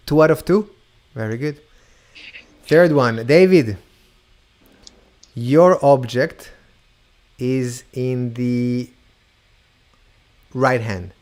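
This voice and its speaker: A man's voice: mid-range voice, darkish tone. A man with an Argentinian accent, partly Hebrew-tinged, hosting a playful mentalist show over a video call, teasing guests and drawing out his reveals. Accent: Argentinian with Hebrew influence. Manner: teasing, upbeat, draws out reveals slowly.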